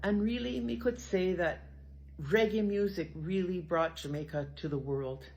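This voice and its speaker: A woman's voice: lowish voice, unhurried, a little mellow. An older woman who grew up in Canada, casually talking to the camera in Jamaican patois. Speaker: vlogger. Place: rural Jamaica, outdoors.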